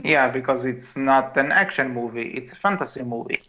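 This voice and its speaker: A man's voice: mid-range voice, a little fast, pushed and bright. A man co-hosting a podcast, discussing casually and readily arguing his point. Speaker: podcast co-host. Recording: dull lo-fi home mic.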